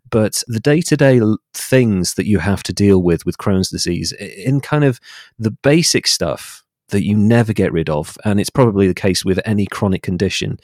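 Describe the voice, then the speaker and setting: A man with a low voice talks as a podcast guest, recorded close and dry. He explains himself in a measured, articulate way. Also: a little mellow, no echo. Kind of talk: explanatory interview talk.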